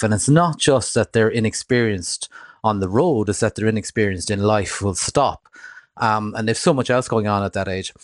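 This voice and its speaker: A man from rural Cork with an Irish accent, making measured, reasoned points as an expert guest in a live radio discussion. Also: on the low side, dry recording, clean studio sound. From rural County Cork, Ireland. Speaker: motoring editor, interview guest.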